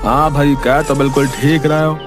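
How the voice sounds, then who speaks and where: A man's voice: flat delivery, moderate pace, lightly acted. A man voicing a gloomy, fearful village man in a cartoon story. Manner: low, mournful, fearful. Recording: background music.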